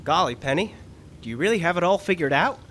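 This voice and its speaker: A man playing an earnest, slightly slow cowboy sidekick in an old-time radio mystery, performed live. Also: fairly high pitch, expressive, a bit bright. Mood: eager, amazed. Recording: live outdoor stage, some background noise.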